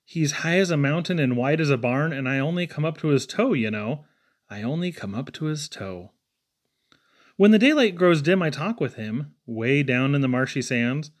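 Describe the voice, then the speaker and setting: Higher-voiced man reading children's poems theatrically. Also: expressive, normal speed. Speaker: poetry reader. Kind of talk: expressive read-aloud of children's poems.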